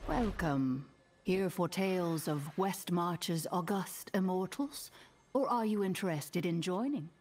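A woman's voice: on the low side, a little slow, darkish tone, faint music behind. A woman playing a welcoming, proud archivist in a fantasy game, assured and inviting. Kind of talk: fantasy video game character acting.

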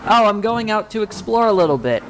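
A man's voice: high-pitched, big pitch swings, brisk, soft background music, voice pushed up, rough recording. A man voicing a cheeky, carefree young cartoon tank engine with breezy, whiny protests. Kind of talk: fan-made children's train story.

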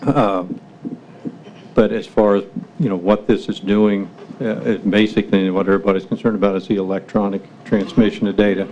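A middle-aged man chairing a city council meeting, speaking in a measured, hesitant way. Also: lowish voice, little pitch movement, darkish tone. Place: council chamber at a table. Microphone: table microphone. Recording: dull meeting recording, faint noise.

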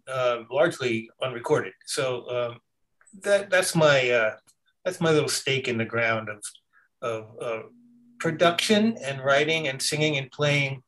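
A male musician recalls his work in a halting, self-correcting way. His voice sounds set back from the microphone, as on a remote line. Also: mid-range voice, a bit bright. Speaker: musician guest. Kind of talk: casual interview chat. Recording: distant from the mic.

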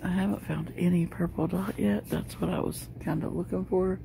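A woman's voice: lowish voice, fairly even intonation, normal speed, creaky, background noise. A middle-aged woman chatting casually as she films a walk through a large store, talking loosely with many fillers. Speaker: shopping vlogger. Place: inside a large discount store. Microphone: handheld phone camera.

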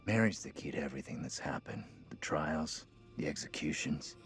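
An actor playing an earnest, determined middle-aged male professor in a horror game, speaking gravely and insistently. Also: very deep voice, animated, normal speed, a bit bright, faint music behind. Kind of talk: cinematic horror game dialogue.

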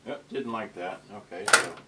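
An older man in his sixties giving a casual unscripted demonstration, halting and muttering to himself as he tinkers. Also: on the low side, loud music behind the voice. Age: older, 60s. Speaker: vintage boombox collector presenting his channel. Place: cluttered indoor storage room. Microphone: camera microphone, tripod then handheld.

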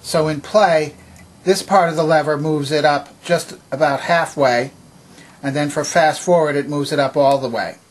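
A man with a somewhat high, husky voice gives an instructional demonstration. He explains each part methodically, one step after another, as a presenter showing how something works. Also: steady tone, moderate pace. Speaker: presenter demonstrating vintage tape recorder. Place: indoor home workbench.

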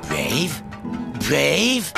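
Guy voicing a cheeky hermit crab, gravelly, slow, swings way up and down, music behind. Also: very animated, full character voice. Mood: boastful. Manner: cheeky, blustering, swings high and low. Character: rude boastful male hermit crab.

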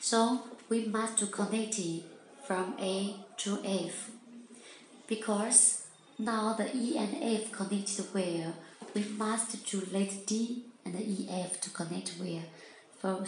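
A woman demonstrating a product in non-native English, taking each step slowly. Her phrasing is simple and halting, with repeated instructions. The room is echoey, with some background noise. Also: mid-range voice. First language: not English.

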